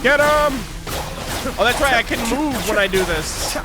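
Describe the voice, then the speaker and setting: An excitable male streamer commenting on a game live, cursing and yelling during fights with his voice swinging widely. Loud game music plays under his talk. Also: extremely high pitch, a little slow. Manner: excitable, swearing, shouting at fights. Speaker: gaming streamer.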